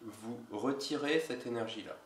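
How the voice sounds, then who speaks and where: A man with a cold, an energy healer giving a casual instructional video in a slow, halting way. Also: mid-range voice, recorded from a distance. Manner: slow, halting, with many pauses. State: has a cold. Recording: roomy echo, away from mic.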